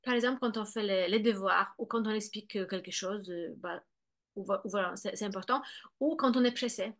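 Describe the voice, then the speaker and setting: A woman's voice: mid-range voice, fairly quick. Hesitant woman explaining, with many fillers. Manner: acts out children's and parents' words. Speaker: guest expert on bilingual children. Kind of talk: explanatory conversation.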